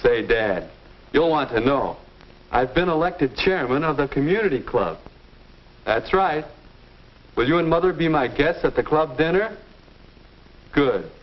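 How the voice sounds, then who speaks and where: Slow, earnest young American teenage boy. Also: a little high, lively intonation. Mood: cheerful. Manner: deliberate, self-questioning, earnest. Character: earnest teenage boy working out his future. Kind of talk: 1950s educational film acting, interior monologue. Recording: dull vintage 1950s film soundtrack.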